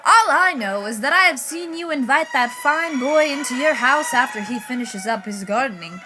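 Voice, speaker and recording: Woman playing a prim, high-voiced radio housewife. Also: lively intonation, moderate pace. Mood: insinuating. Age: teens. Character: prim, reproachful, easily flustered housewife. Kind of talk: 1940s radio drama acting. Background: music.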